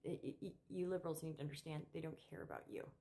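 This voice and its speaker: A middle-aged woman giving an unscripted opinion monologue as a vlogger, emphatic and halting, often repeating words as she goes. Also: sits low, fairly even intonation, creaky, mellow. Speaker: political commentary vlogger.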